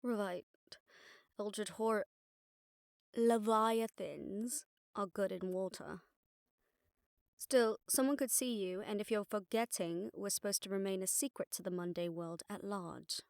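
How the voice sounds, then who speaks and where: A woman playing an exasperated, sharp-tongued witch detective in an audio roleplay, talking casually and wryly to a partner. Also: medium pitch, unhurried, gravelly, no echo, studio quality. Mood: wry, cautioning. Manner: casual, wry, mildly swearing, explanatory. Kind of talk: conversational audio roleplay acting.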